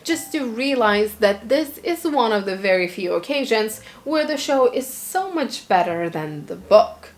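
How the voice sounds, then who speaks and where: A young woman from Lithuania, a native Lithuanian speaker, giving candid, opinionated book reviews in English in a chatty vlog style with the odd filler. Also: fairly high pitch. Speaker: book vlogger.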